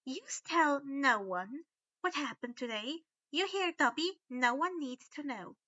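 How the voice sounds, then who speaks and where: Woman putting on a high, excitable male house elf. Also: unhurried, dry recording, lo-fi sound, heavily acted. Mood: warning. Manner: broken servant grammar, emphatic.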